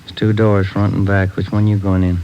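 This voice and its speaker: A man's voice: on the low side, flat delivery, brisk, dark tone, a little hiss or hum, natural voice. A man playing a terse loyal police partner. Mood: steady. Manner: terse, matter-of-fact. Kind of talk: 1940s radio acting. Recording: dull old radio recording.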